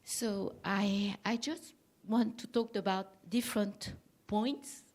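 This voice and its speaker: Woman panelist in her fifties, unhurried and soft-spoken, speaking into a conference mic. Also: mid-range voice, steady tone. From Laos. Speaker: panelist, art expert. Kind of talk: conference talk.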